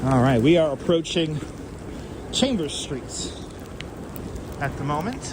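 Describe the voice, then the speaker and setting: A man's voice: a little high, very animated, slow pace, very noisy. A man hosting a live street stream outdoors at night, chatting casually and answering viewers by name, on a clip-on mic. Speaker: livestream host. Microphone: wireless clip-on mic.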